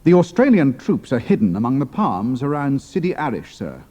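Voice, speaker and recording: An actor playing a cool, quick-witted military pilot in an audio drama. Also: male voice, medium pitch, big pitch swings, dark tone, no room sound. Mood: brisk, guarded.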